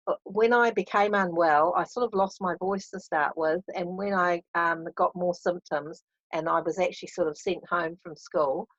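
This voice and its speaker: A woman's voice: fairly low pitch, fairly bright tone, dry recording. A woman from New Zealand, speaking in a video call interview with frequent hesitations. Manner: hesitant, many ums. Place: caravan at a beach. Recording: dull dry video call audio.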